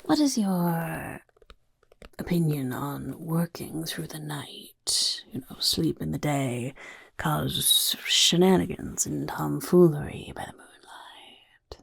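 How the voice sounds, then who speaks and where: A woman voicing a scheming male goblin who interviews recruits for a chaos horde, in a whispery soft-spoken ASMR roleplay, talking of himself in the third person. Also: deep voice, expressive, takes it slowly, dry recording, heavily acted. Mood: curious. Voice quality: gravelly.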